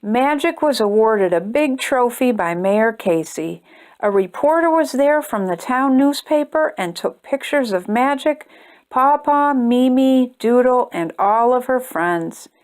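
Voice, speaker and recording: High-voiced woman reading a children's story. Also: animated, a little slow, a little mellow. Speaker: storytime reader and host. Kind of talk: children's picture book read-aloud.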